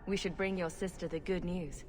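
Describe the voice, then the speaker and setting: A woman's voice: on the low side, steady tone, normal speed, lightly acted. Woman acting a composed heroine, echoing hall. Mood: resolute. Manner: direct, probing, composed. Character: composed, commanding female adventurer, fantasy heroine. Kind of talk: fantasy video game dialogue. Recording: echoing reverb with background noise.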